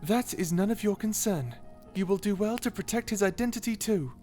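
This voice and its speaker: Kind guardian angel, played by a woman: mid-range voice, measured, softly formal and protective, audio drama. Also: mid-range voice, expressive, soft background music, lightly acted. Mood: firm, protective. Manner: gentle, formal, measured, reassuring. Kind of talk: character audio roleplay.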